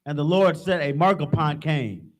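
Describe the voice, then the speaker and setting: A man reading scripture verses aloud in a steady, plain way. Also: a little high, normal speed. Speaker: scripture reader.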